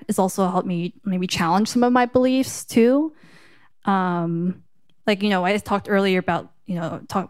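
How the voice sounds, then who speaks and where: A woman's voice: medium pitch, clear, resonant tone. A young woman from the US Midwest founded a book club and is speaking in a panel conversation on stage at a book festival. She talks thoughtfully and hesitantly, with many fillers.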